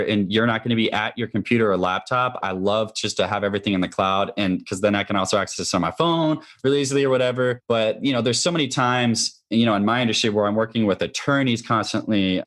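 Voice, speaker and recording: A man's voice: fairly low pitch, a bit bright. Informal man explaining things as a guest. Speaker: podcast guest, real estate investor. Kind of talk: casual interview talk.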